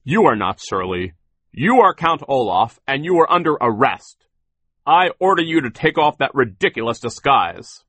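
Man acting a stern, officious gentleman, raised voice. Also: mid-range voice, big pitch swings, slow pace, hardly any pauses, slightly rough, no room sound, pitched above the performer's own voice, full character voice. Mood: stern, commanding. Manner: emphatic, declarative, formal.

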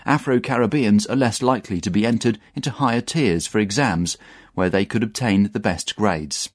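A man reads a news article in a measured, even voice. His voice sits low and sounds a little husky. The recording is dry and close. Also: no room sound. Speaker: narrator reading a news article. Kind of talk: scripted narration.

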